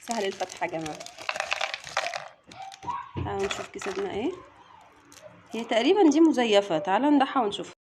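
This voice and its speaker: A woman's voice: medium pitch, lively intonation, a little fast, pauses often, noisy background. A young woman with an Egyptian accent, chatting casually to viewers as she unboxes snacks and counts items aloud. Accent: Egyptian Arabic. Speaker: family vlogger and mother. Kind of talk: casual unboxing vlog chat.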